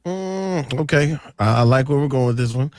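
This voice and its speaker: A man's voice: medium pitch, expressive, moderate pace, no room sound. A man hosting a sports radio discussion, casually putting questions to his panel.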